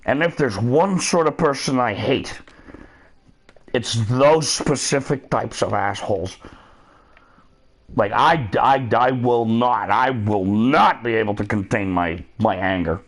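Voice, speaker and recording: A man's voice: mid-range voice, slow pace. A middle-aged man chatting casually while gaming, profane and rambling, laughing often. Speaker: streamer.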